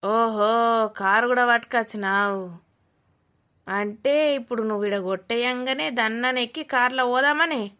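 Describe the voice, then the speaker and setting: A woman's voice: a little high, a little slow, pushed and bright, smooth. A woman voicing a suspicious, scolding old village woman in a cartoon comedy, with a Telangana accent, blunt and mocking. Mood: mocking, accusing. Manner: blunt, mocking, rambling accusations.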